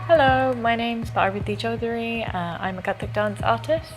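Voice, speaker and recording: A woman's voice: on the high side, moderate pace, loud music behind the voice, poor audio. A woman who is a Kathak dance artist, introducing herself briefly and matter-of-factly. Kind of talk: self-introduction.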